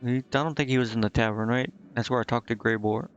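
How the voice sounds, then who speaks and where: A man's voice: medium pitch, fairly even intonation, quick pace, highs cut off. A man doing casual live game commentary as a streamer, hesitantly thinking aloud to himself and reading on-screen text out. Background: game music.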